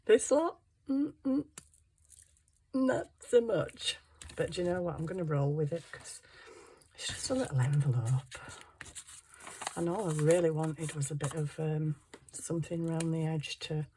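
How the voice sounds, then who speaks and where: A hoarse middle-aged woman chatting casually to herself while crafting, muttering and poking fun at herself. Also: low voice, darkish tone, slight background noise. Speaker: craft channel host.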